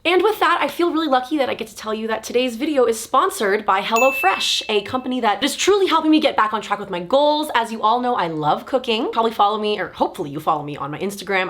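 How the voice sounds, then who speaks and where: A woman's voice: fairly high pitch, a bit bright. An American woman aged thirty chatting casually and confidingly to her viewers as a vlog host, with self-deprecating asides. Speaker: video channel host. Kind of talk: casual personal vlog chat to camera. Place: bedroom at home, sitting on the floor.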